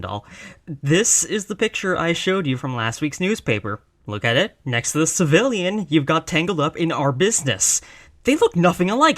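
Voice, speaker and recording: A wry, apologetic mafia boss, acted by a man in an audio drama monologue. His voice sits a little high for a man, and he talks in a conversational, sardonic way with a flirtatious streak and plenty of exasperation. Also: fairly bright tone. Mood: exasperated.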